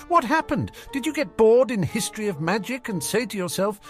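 A man voicing a cocky, mocking teenage boy wizard who talks quickly and showily. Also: high voice, very animated, a bit bright, soft background music, voice pushed up. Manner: sneering, quick, showy.

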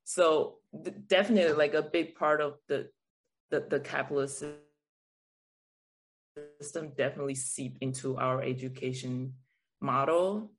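A woman's voice: extremely low pitch, normal speed, long pauses, rough recording. A woman from Taiwan speaking as a guest in a conversational podcast interview, explaining her views in a reflective way. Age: adult, parent of a school child. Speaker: guest, teacher and artist.

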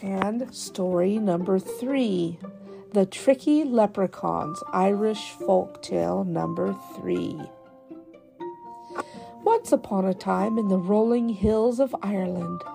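A woman reading scripted children's folk tales in a measured, deliberate storybook delivery. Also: medium pitch, vocal fry.

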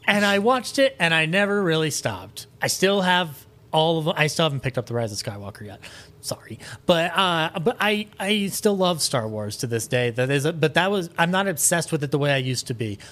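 A man speaking as a podcast guest in a casual film discussion, animated and opinionated, swearing freely. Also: a little high, moderate pace.

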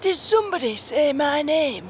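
A woman's voice: high voice, very animated, normal speed, a little hiss or hum. A woman playing a sweet, humble groundskeeper in a comedic fantasy audio drama, chirpy and mild. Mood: eager, humble. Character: sweet, humble female groundskeeper made heir.

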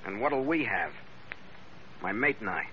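An actor playing a tough, wry ship's skipper, hero of an old-time radio adventure, with a terse, cool manner. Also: male voice, lowish voice, sing-song, a little slow, sharp tone, slight background noise, exaggerated voice. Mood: defiant. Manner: hard-boiled, terse, cool. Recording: muffled vintage radio recording with music.

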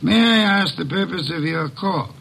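An actor in an old-time radio drama playing a portly, middle-aged private detective, courtly, pompous and dryly sardonic. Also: male voice, sits high, expressive, moderate pace, vocal fry, a bit bright, husky, heavily acted. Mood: courteous probing.

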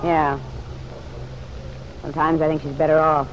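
A woman's voice: sits low, little pitch movement, normal speed, natural voice. A woman playing a defiant, working-class American woman with a curt, slangy, sardonic way of talking, in an old-time radio drama. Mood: bitter, defensive. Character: hard-boiled, sharp-tongued working-class woman, defiant. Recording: muffled vintage radio, faint noise.

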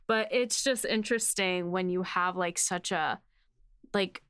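A woman's voice: on the low side, measured pace, fairly bright tone, clean tone, no echo, studio quality. A woman co-hosting a podcast, talking loosely and casually about writing a show, with plenty of "like". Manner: loose, hedging with frequent "like". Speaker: podcast host and series writer.

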